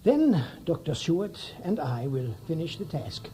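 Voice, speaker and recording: A man's voice: fairly high pitch, very animated, measured pace, dark tone, soft background music. A man playing a solemn, commanding vampire hunter in a radio drama, with a grave, emphatic, theatrical delivery.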